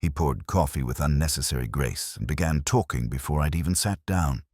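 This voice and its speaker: A man narrating a detective audio drama in the first person as a melancholy, cerebral inspector, in a measured, literary, brooding way. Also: extremely low pitch, a little mellow, no echo, clean studio sound. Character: melancholy, cerebral older male police inspector.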